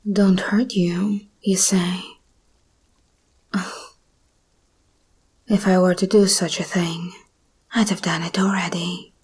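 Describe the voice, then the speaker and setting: A woman playing an escaped hybrid monster girl, possessive yet tender, in an intimate, breathy whispered ASMR roleplay. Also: lowish voice, level tone, darkish tone, heavily acted. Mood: tender, reassuring. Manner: slow, intimate, intense.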